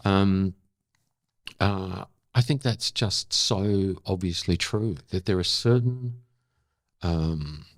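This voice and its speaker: Deep-voiced older man, halting and thoughtful. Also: dry recording, clean studio sound. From Australia. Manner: halting, thoughtful, searching for words. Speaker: interview guest. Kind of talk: reflective long-form conversation.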